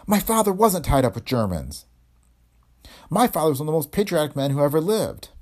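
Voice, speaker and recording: A man's voice: mid-range voice, very animated, brisk, darkish tone, husky, pitched above the performer's own voice. A man voicing an indignant, loyal young woman in a hardboiled detective story, earnest and defensive. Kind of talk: hardboiled detective audiobook dialogue.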